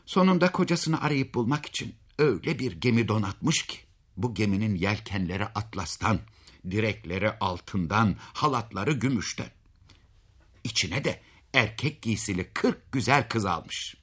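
Male storyteller for folk tales: husky, highly animated pitch, dramatic and folksy, chatting to the listener. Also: moderate pace. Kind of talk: expressive oral fairy-tale narration.

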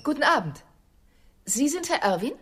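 A woman's voice: sits high, big pitch swings, normal speed, a bit bright. An actress playing a suspicious, protective woman in a radio drama, formal and stern. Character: suspicious, protective, prim woman checking on a friend.

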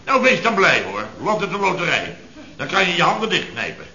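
Een acteur die een mopperende, opschepperige en zielige rijke aannemersbaas speelt, pompeus en nadrukkelijk, in een oude radiokomedie. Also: male voice, high voice, sing-song, brisk, pushed and bright, a little hiss or hum, exaggerated voice. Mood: aggrieved, blustering. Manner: pompous, grumbling, emphatic, repeats himself. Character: blustery, self-pitying, boastful rich building contractor boss. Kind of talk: 1970s radio comedy acting. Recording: dull, roomy vintage radio recording.

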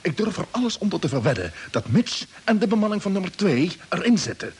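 An actor playing a decisive space expedition commander giving orders in a radio drama. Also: male voice, high voice, sing-song, brisk, breathy edge, exaggerated voice. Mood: determined. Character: commanding expedition leader Jeff. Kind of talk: 1950s radio drama acting.

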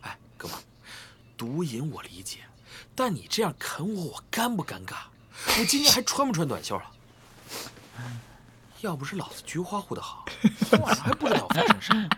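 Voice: mid-range voice, huge pitch swings, moderate pace, pauses often, fairly bright tone, a little hiss or hum. An actor playing a brash, foul-mouthed, exasperated young man with a sarcastic, blustering manner in an audio drama. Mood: aggrieved, sardonic.

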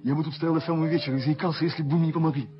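A man playing a nervous, lovestruck young English gentleman in a radio drama, speaking in a halting, flustered manner. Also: a little high, fairly even intonation, close to an everyday voice. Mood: flustered, tender. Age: young adult. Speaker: stage actor in radio play. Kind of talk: Soviet radio drama acting. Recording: dull vintage radio-play tape with music.

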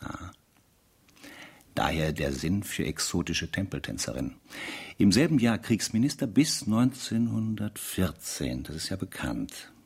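A man's voice: low-pitched, gravelly, slightly rough, full character voice. An actor playing a sardonic, weary male investigator who narrates a spy case theatrically in a radio play. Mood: wry. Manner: sardonic, theatrical, rhetorical asides.

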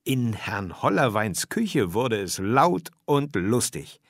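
A man reading a children's story aloud in an expressive narrating style. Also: lowish voice, takes it slowly, dry recording, clean studio sound. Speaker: story reader.

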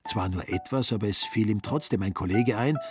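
A man narrating a literary radio drama in a scripted storytelling style, with a husky voice. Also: lowish voice, fairly quick, a little mellow, faint music behind.

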